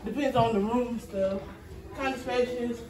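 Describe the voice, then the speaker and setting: A woman's voice: fairly high pitch, fairly even intonation, moderate pace, darkish tone, distant mic. A young woman giving a casual house tour to viewers, chatty and often repeating her phrases, recorded on a handheld phone while walking indoors. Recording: roomy, noisy, voice off from mic.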